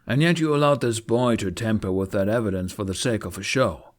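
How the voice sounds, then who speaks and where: A man's voice: fairly low pitch, a little fast, close to an everyday voice. A man voicing a stern, suspicious knight commander with a clipped, commanding manner in a fantasy audiobook. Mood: accusing.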